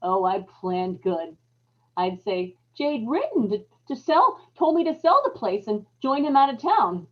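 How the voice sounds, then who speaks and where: Female actor as murderous wife for radio horror: higher register, folksy, emphatic, guilt-ridden; slightly muffled recording. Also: sing-song, measured pace. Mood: gloating, bitter. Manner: dramatic, folksy, emphatic repetition. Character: scheming, guilt-ridden murderous wife, cold, then panicked. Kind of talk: old time radio horror acting, confessional narration and dialogue.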